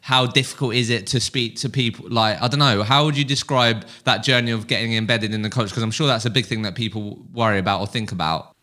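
A man's voice: fairly low pitch, fast, hardly any pauses, bright, forceful tone. A man hosting a podcast, asking long, rambling questions in a casual tone. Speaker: podcast host.

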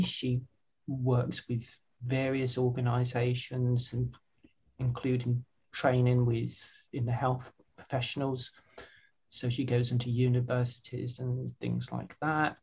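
A woman's voice: extremely low pitch, little pitch movement, slow pace, gravelly, warm tone, slightly rough, highs cut off. An older woman, earnestly answering interview questions with frequent restarts and repeated words. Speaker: website founder, guest.

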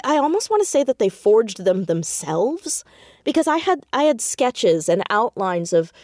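A woman's voice: mid-range voice, a little mellow, no echo. Woman chatting in a dry, close recording. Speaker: podcast guest, audio drama writer and creator. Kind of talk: casual interview chat about own writing.

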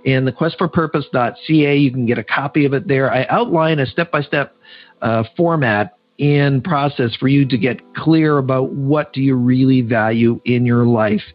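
A man's voice: medium pitch, moderate pace, lo-fi sound, faint music behind. A man hosting a podcast interview, chatty and playfully teasing his guest. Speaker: podcast host.

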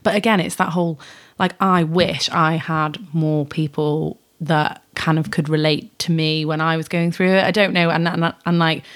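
A woman's voice: deep voice, steady tone, moderate pace, hardly any pauses. A woman from North Wales, a podcast guest, chatting casually with lots of restarts and fillers. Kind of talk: casual chat.

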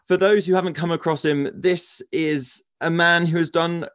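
A man's voice: fairly high pitch, fairly even intonation, normal speed, fairly bright tone, no room sound, studio quality. A man hosting a podcast, reading his introduction and then asking a guest questions in an earnest, enthusiastic way. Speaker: podcast host.

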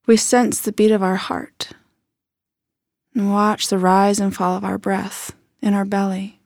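Woman softly guiding a meditation, dry close sound. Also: medium pitch, level tone, a little slow, gravelly, no room sound. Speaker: meditation guide. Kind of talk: slow guided body scan meditation.

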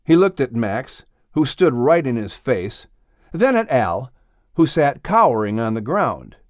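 Male storyteller for children's audiobook: mid-range voice, very animated delivery, dry recording. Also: medium pitch, a little slow, dry recording. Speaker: audiobook narrator. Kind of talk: expressive scripted children's story narration.

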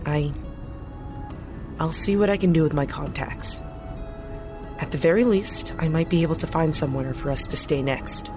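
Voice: mid-range voice, moderate pace, pauses often, music in the background, close to an everyday voice. An actor playing a chastened, guarded political fixer in an audio drama, speaking in a subdued, hesitant, clipped way. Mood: subdued, apologetic. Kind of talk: naturalistic audio drama acting.

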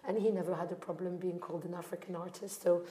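A woman's voice: fairly low pitch, little pitch movement, fast, mellow. A woman from Morocco speaking reflectively as a founder taking part in a panel discussion. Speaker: art fair founder on a panel.

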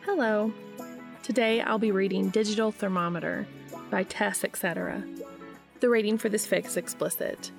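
A woman hosting a podcast and reading fan fiction aloud in an expressive audiobook style. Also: mid-range voice, moderate pace, gravelly, over music.

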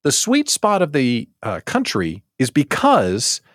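American man, lowish voice, very lively intonation, dry close sound, casual live-show host, playful emphatic delivery. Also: slow, dry recording. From United States. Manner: playful, emphatic, repeats words for comic effect. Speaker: host of a daily live show for small business owners.

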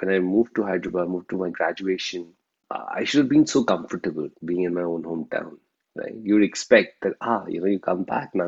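Indian-accented man, low-set husky voice, reflective storyteller, dull lo-fi recording. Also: a little mellow. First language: Telugu. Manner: reflective, often ends phrases with a questioning "right?". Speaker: guest sharing his life story.

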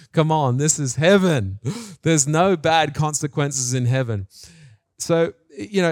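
Higher-voiced Australian man preaching. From New Zealand and Australia. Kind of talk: sermon with personal stories.

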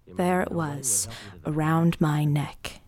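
A woman playing a troubled, brooding diarist in an audio drama monologue, confiding secrets in a hushed half-whisper with deliberate pauses. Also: low voice, steady tone. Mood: haunted, confiding.

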